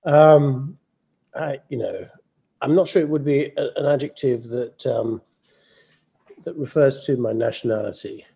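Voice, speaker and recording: A man who is a novelist speaking as a panel guest, halting and reflective, with stammered repeats. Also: medium pitch, vocal fry, warm tone, slightly rough. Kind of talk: panel discussion.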